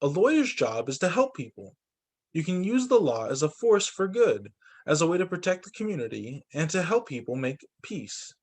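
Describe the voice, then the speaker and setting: A man with a fairly high voice reads a storybook aloud to children. His delivery is expressive and lively, with pitch that moves around a lot, in the manner of a teacher at story time. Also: moderate pace. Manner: animated, acts out dialogue for children.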